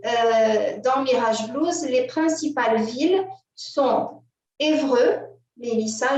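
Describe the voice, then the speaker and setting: A French-speaking woman presenting her novel with slides at a book fair, pausing often with filler sounds and asking her assistant to move to the next slide. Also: a little high. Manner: halting, many filler "euh", directs an assistant to change slides. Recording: roomy echo, distant from the mic.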